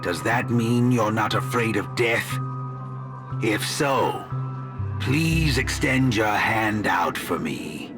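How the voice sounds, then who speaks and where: An actor playing a pompous, smug villainous teacher in a video game, lecturing in a theatrical, philosophical way. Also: male voice, lowish voice. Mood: cold, grandiose. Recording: game music under the voice.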